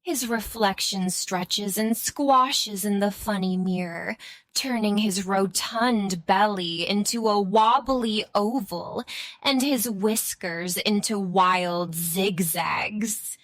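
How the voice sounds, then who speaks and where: A woman's voice: mid-range voice, slow, a bit bright, dry recording. A woman reading scripted narration for a children's bedtime story.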